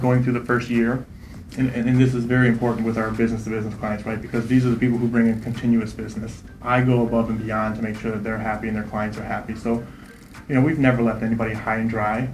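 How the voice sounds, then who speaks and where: A man in his thirties, a real estate investor, answering interview questions quickly and confidently, often tagging "right?" onto his points. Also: medium pitch, a little mellow, reverberant, a little hiss or hum, rough recording. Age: 30s. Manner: fast, confident, repeats "right?".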